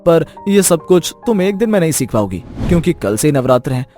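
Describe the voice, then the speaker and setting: A man voicing a gentle, persuasive husband. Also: a little high, a little fast. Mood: calm. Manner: calm, persuasive. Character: gentle reasoning young husband. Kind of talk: animated moral story dubbing. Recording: music bed behind voice.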